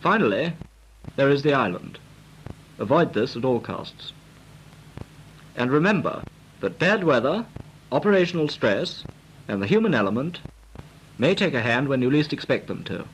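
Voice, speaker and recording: Male actor as British 1940s navy flying instructor: formal, precise, animated pitch, slight old-film noise. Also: moderate pace, pauses often. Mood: formal, instructive. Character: brisk, formal male naval flying instructor briefing trainees. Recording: noisy, crackly 1940s film soundtrack.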